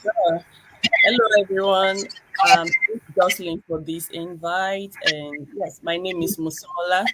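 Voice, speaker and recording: A woman from Nigeria introducing herself in a friendly, measured way on a live video chat. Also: lowish voice, fairly even intonation, background noise. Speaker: guest.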